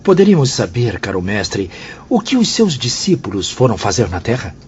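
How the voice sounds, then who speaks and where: A man's voice: medium pitch, very animated, moderate pace, lightly acted. A man playing a curious, respectful pupil in a radio drama, polite and inquisitive. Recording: dull sound, light background noise.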